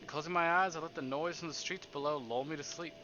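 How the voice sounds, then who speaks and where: A man streaming a visual novel, reading it aloud theatrically and deliberately, with livelier asides. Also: on the high side, pushed and bright, muffled recording, a little hiss or hum. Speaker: game streamer. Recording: music behind the voice. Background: game background music.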